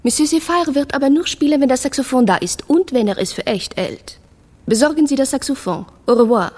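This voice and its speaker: An actress playing a stern, formal French manager of a jazz star in a radio play, with a French accent. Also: female voice, sits high, a little fast, darkish tone, close to an everyday voice. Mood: cool displeasure. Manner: formal, stern, searching for German words. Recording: long hall reverb.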